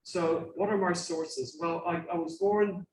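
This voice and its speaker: A man's voice: high voice, steady tone, a little slow, darkish tone. An Irish man giving an informal lecture in a conversational way, repeating phrases for emphasis. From Ireland, grew up in Dublin. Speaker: guest lecturer. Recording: roomy, distant mic with faint noise.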